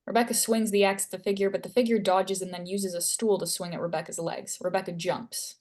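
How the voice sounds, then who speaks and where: A woman's voice: medium pitch, fairly even intonation, a little fast, a little mellow. A woman narrating stage directions from a screenplay at a table read.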